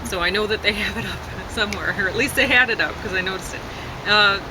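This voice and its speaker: A woman chatting casually, hesitant and rambling, often trailing off mid-thought. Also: fast. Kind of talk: casual chat. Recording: constant traffic and outdoor rumble noise.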